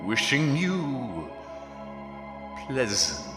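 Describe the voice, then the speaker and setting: Man as a booming-hall, swooping spooky announcer. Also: big pitch swings, very slow. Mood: ominously formal. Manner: theatrical, ominous, formal. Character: grandly spooky radio announcer. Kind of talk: old-time radio horror announcing, scripted credits. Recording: echoey hall reverb, music behind.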